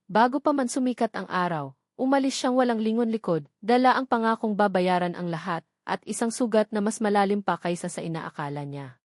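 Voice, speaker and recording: A woman's voice: mid-range voice, darkish tone, no echo, clean studio sound. A woman with a Filipino accent reading a scripted story as a dramatic audiobook narration.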